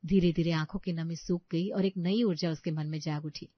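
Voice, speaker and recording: A woman's voice: fairly low pitch, fairly even intonation, brisk, no echo, muffled recording. A woman reading scripted bedtime story narration.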